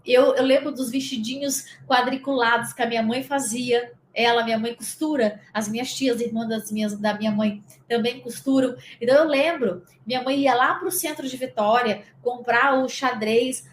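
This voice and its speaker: A woman's voice: fairly high pitch, steady tone, normal speed, few pauses, roomy sound, a little hiss or hum. A Brazilian woman from the interior of Espírito Santo, a spiritual coach giving a casual guided lesson, talking straight to the listener in a rambling, chatty way. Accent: Brazilian Portuguese. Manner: conversational, rambling, speaks directly to the listener.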